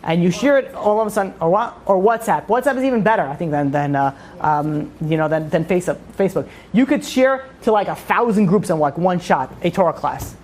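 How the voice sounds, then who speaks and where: A woman's voice: on the low side, a little fast, hardly any pauses, a little mellow, a little hiss or hum. A woman giving an informal religious lecture to a group, emphatically asking questions and answering them herself. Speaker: religious class teacher.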